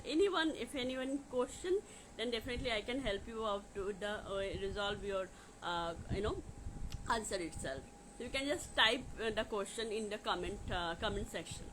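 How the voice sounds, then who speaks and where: Casting: woman with Indian accent, slightly high voice, live-streaming coach, halting and repetitive informal talk, faint noise behind. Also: a bit bright, low-quality recording. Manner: repetitive, halting with frequent "you know". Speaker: life coach hosting a live question session. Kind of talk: informal livestream talk to viewers.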